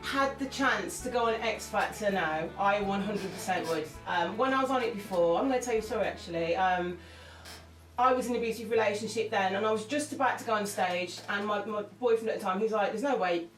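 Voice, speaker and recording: A woman's voice: medium pitch, fairly quick. Woman, interview guest, open and emotional, laughs easily, distant roomy mic. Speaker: interview guest, singer and actress. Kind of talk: candid conversational interview. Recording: echoey room, mic far off, music underneath.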